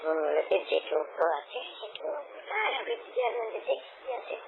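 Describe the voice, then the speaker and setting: A man's voice: fairly high pitch, wildly swooping pitch, normal speed, creaky, over a noisy crowd. A man chatting casually and intimately on a phone call, often repeating his own phrases. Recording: noisy, narrow phone call recording.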